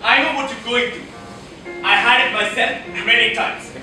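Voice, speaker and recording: A man's voice: very high-pitched, normal speed, bright, forceful tone, faint music behind, full character voice. A young man acting a genial, theatrical doctor with jovial patter in an amateur stage comedy. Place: college auditorium stage, recorded from afar. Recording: echoey auditorium, distant mic, noisy.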